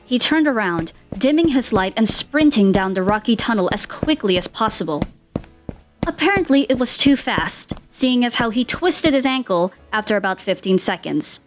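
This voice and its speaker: A woman's voice: on the high side, lively intonation, normal speed, soft background music. A woman reading fan fiction aloud as the narrator, in a scripted storytelling style.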